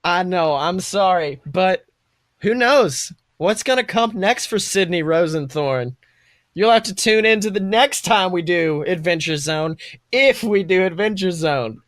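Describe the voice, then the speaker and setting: A man's voice: high-pitched, lively intonation, a little slow, a bit bright, no echo, studio quality. A man hosting a podcast, telling improvised fantasy stories as game master and chatting. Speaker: podcast host. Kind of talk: improvised second-person fantasy storytelling and podcast chat.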